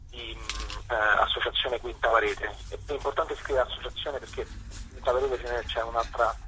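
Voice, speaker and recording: A man's voice: medium pitch, sharp tone, a little hiss or hum, rough recording. A man speaking as a theatre guest in a casual phone interview, hesitant and often breaking off mid-word. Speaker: guest theatre director and actor promoting a show.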